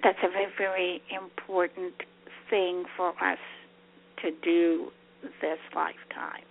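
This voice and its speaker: A woman's voice: fairly low pitch, flat delivery, vocal fry. Older woman host on a phone line. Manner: reflective, talks of energy, slow halting phrasing. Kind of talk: phone interview. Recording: narrow telephone line.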